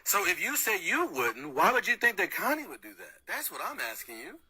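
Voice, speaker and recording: A man's voice: high voice, wildly swooping pitch, a little fast, sharp tone, rough recording. A man in an argumentative phone conversation, persistently questioning and repeating his points.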